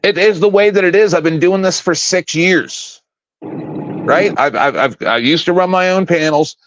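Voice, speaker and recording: A man's voice: high voice, unhurried, a bit bright, slight background noise. A man in his fifties, a panel guest on a live stream, ranting in a blunt, profane, confrontational way from home. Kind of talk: casual live-stream rant. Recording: dull, lo-fi, dry stream audio.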